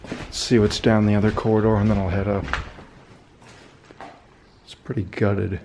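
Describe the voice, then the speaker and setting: A man narrating his own exploration of abandoned buildings in a dry, wry, deadpan way, filmed on a handheld camera. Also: on the low side, normal speed, slight background noise. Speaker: urban explorer vlogger. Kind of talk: casual solo exploring commentary. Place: abandoned buildings and overgrown fields, indoors and outdoors.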